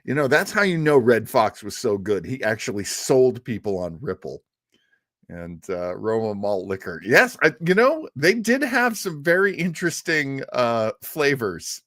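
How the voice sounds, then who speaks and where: A man's voice: medium pitch, big pitch swings, a little slow, a bit bright, dry recording, studio quality. A man hosting a livestream, chatting casually and answering viewers, rambling with laughs and restarts. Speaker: livestream host. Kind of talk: casual chat with live chat viewers.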